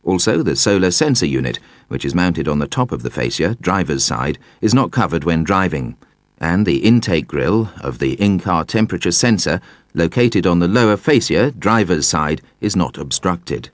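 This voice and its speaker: Deep-voiced man narrating instructions. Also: lively intonation, no room sound. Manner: measured, precise, explanatory delivery. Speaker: narrator of a car instruction video.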